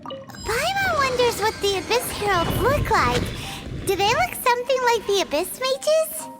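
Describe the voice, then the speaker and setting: A woman voicing a tiny, excitable, childlike fairy companion with a chirpy, naive manner in a video game. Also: very high-pitched, a little slow, full character voice. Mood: curious. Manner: chirpy, naive, speaks of herself in third person. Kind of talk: cartoonish video game dialogue. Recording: studio voice over game music.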